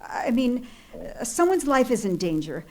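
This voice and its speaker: A woman's voice: fairly high pitch, very animated, normal speed, a little mellow. An actress playing a fretful, demanding woman on the telephone in an old-time radio thriller, agitated and insistent. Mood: urgent, pleading. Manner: agitated, insistent, theatrical, stammering with alarm. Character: fretful, demanding, highly strung telephone caller in distress.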